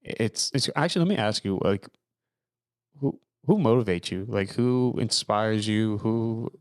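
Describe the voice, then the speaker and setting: Rambling young man with a low, dry voice. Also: a little slow, a little mellow, dry recording. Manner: rambling, many fillers and restarts. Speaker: podcast host. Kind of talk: casual interview chat.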